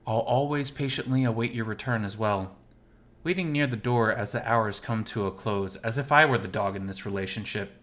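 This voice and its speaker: A man voicing a lovesick, gently self-mocking male animal character in first-person visual novel narration, intimate and wistful. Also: fairly low pitch, normal speed, lightly acted. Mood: tender, wistful.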